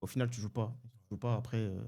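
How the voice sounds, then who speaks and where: Mellow man from Côte d'Ivoire. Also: lowish voice, steady tone, quick pace. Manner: reflective, builds explanations. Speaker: podcast co-host. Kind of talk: casual football debate.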